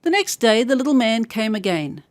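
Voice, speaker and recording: High, very animated woman storyteller. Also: moderate pace, no room sound. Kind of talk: scripted fairy-tale narration for children.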